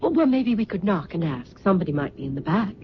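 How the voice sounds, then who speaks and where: An actress playing a bold, scheming middle-aged fashion designer in a radio drama, imperious and excitable, with theatrical breathy exclamations. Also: female voice, lowish voice, fast talker, noisy background. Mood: eager, insistent. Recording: dull old radio recording, music behind.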